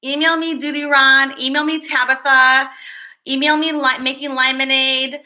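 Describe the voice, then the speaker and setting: High bright-voiced woman rambling to her livestream chat. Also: flat delivery, normal speed. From Chicago, USA. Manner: rambling, chatty, reads viewer names aloud. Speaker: livestream host.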